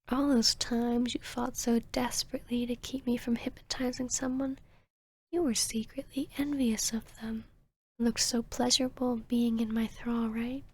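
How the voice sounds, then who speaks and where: A woman voicing an arrogant, seductive vampiress hypnotist in a whispered ASMR roleplay, with a breathy, smug, teasing delivery. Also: fairly high pitch, darkish tone. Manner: slow, smug, teasing, deliberate.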